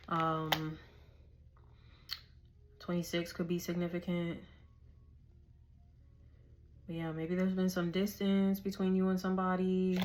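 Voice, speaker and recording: Hoarse woman, casual tarot reader, a touch low, faint background noise. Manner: chatty, loose, slangy, thinks aloud.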